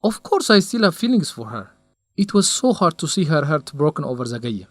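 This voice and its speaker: A man's voice: on the high side, very animated, brisk, fairly bright tone, dry recording, faint music behind. An actor playing a young male researcher in a radio drama, lovesick and stubborn, speaking in an earnest, defensive way.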